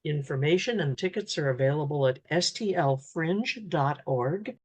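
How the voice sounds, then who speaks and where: A woman's voice: low voice, animated, moderate pace, breathy edge. A woman hosting a radio interview, asking questions and giving announcements.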